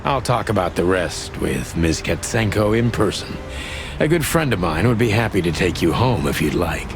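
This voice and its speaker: A man playing a world-weary ageing rooster detective, wry and deadpan, narrating a noir game. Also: sits low, normal speed, creaky. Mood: wry, courteous. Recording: music behind the voice. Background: music.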